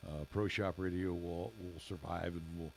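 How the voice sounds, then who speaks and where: A middle-aged man co-hosting a local radio show in casual chat, with a raspy, gravelly voice and halting speech full of fillers. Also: low-pitched, fairly even intonation. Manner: halting, many fillers and restarts. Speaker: radio station owner and co-host.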